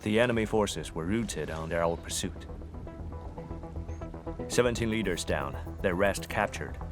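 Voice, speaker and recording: A stern male task force soldier in a video game. His voice sits low, with formal, clipped, matter-of-fact delivery. Music plays clearly behind him. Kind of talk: video game cutscene acting.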